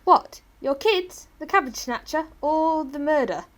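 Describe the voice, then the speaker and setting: A cheeky maid, voiced by a woman who speaks high and bouncy, delivering blunt quips in a deadpan way. She sounds set back from the microphone. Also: measured pace. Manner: deadpan, wry.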